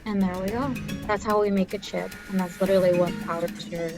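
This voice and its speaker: A woman's voice: medium pitch, fairly even intonation, brisk. A young woman engineer presenting on a video call in a casual, chatty way, laughing easily. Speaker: engineer presenting on a career panel. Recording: music playing under voice. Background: video soundtrack music.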